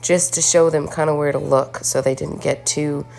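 A woman's voice: sits low, steady tone, normal speed, gravelly, a little mellow. A woman vlogging casually outdoors on her farm, laughing often and explaining things to her viewers. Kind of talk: casual family chat.

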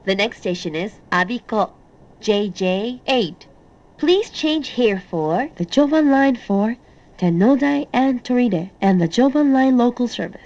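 A woman reading recorded train announcements in set phrases, with a formal, measured delivery. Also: a little high, animated, a little mellow, highs cut off. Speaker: automated English-language train announcer.

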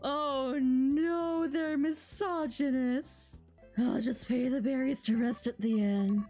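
A woman's voice: sits high, steady tone, slow pace, warm tone. A woman streamer reading video game dialogue aloud with casual commentary, in a giggly manner that often breaks up her words. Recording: music behind the voice. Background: video game music.

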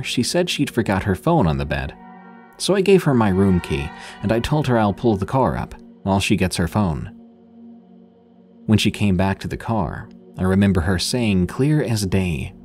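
A man narrating true scary stories in a slow, deliberate, suspenseful scripted reading. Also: low voice, darkish tone. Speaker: horror story narrator.

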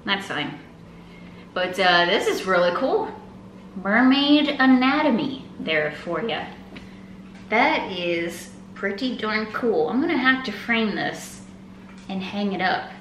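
Young American female vlogger, enthusiastic and chatty, bright tone, echoey home room. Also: mid-range voice, lively intonation, slow. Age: young adult. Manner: upbeat, enthusiastic. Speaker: vlog host. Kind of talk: casual chat to viewers. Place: indoors at home, open room with kitchen. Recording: echoey room, faint background noise.

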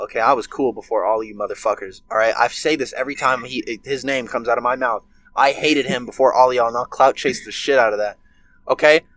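A man's voice: medium pitch, fairly bright tone. A nineteen-year-old man ranting with heavy swearing in a casual podcast chat, recorded over a webcam video call from his bedroom. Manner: profane, ranting, heated. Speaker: podcast co-host.

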